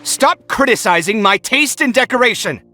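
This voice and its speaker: A man's voice: extremely high pitch, animated, normal speed, bright, forceful tone, full character voice. A sarcastic, exasperated young man. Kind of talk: game cutscene voice acting.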